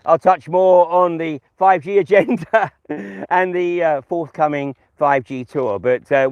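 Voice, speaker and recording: A British man giving an informal political monologue to camera outdoors in a field, hesitant and wry, with emphatic pauses. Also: fairly high pitch, no room sound, lo-fi sound. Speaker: vlogger and political commentator. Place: outdoors in a farm field by a public footpath.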